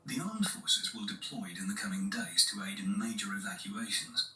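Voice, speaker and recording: A man's voice: lowish voice, moderate pace, bright, forceful tone. A man playing a grave government announcer, reading a formal, solemn emergency address to the nation. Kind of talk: scripted emergency broadcast. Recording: echoey, distant, faint hiss.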